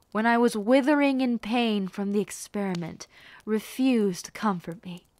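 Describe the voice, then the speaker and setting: A woman playing a bitter, haughty, scheming rebel warlord princess in a scripted roleplay, speaking formally and deliberately with theatrical delivery. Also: medium pitch, no echo, lightly acted. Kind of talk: scripted roleplay monologue to the listener.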